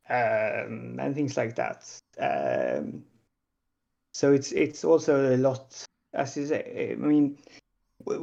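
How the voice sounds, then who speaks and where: A man with a Swedish accent talking in a casual panel discussion, hesitant and full of pauses and fillers. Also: mid-range voice, creaky, mellow, dull audio. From Sweden.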